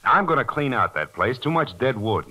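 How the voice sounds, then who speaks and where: Bossy smug american factory boss, old radio drama, condescending, big swings in pitch, bright. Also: male voice, big pitch swings, moderate pace, breathy edge, heavily acted, low-quality recording. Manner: blustering, condescending. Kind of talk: 1940s radio acting. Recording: 1940s radio broadcast.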